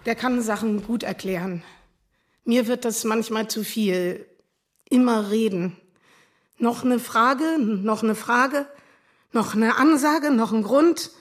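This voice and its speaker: A rough, curt woman on probation in a radio drama, her voice sitting a little high. She snaps out short, coarse lines with little patience. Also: slow. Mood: frustrated. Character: rough-mouthed young woman on probation, blunt.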